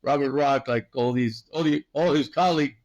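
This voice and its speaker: Friendly podcast host guy from new york, average pitch, rambles a little. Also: normal speed, darkish tone, highs cut off. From Long Island City, New York. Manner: friendly, chatty, stumbling restarts. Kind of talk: casual interview chat.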